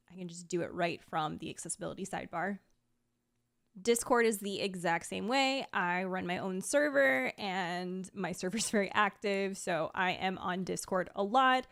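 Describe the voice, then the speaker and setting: A woman's voice: lowish voice, vocal fry, clean tone. A woman talking casually to viewers like a streamer, in a conversational way sprinkled with "like" and small laughs. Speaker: video creator and streamer presenting a sponsored ranking video. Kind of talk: casual chatty vlog talk to viewers.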